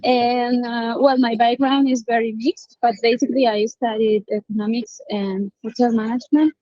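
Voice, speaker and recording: A woman from Peru, raised in Spain, a webinar participant speaking haltingly over a video call. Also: a little high, fairly even intonation, mellow, dry recording. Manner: halting, with fillers. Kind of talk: casual conversation. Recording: dull, lo-fi call audio.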